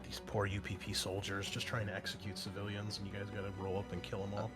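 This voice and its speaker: A man's voice: lowish voice, monotone, quick pace. Casual man running a tabletop game, music behind. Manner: casual, conversational, mild swearing. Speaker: game master. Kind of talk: narrating a tabletop roleplaying game.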